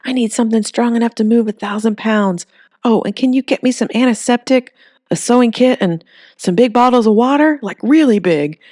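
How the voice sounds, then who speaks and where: A woman's voice: fairly high pitch, brisk, dark tone, dry recording, clean studio sound, pitched above the performer's own voice, natural voice. A woman voicing an anxious, indoorsy young man who pleads in a strained, rising voice. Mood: pleading.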